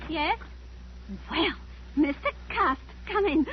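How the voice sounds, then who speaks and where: A woman's voice: very high-pitched, animated, slow pace, slight background noise, heavily acted. An actress playing a young working-class English woman with a fussing, emotional manner in a 1940s radio drama. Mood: fussing, concerned. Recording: muffled 1940s radio recording.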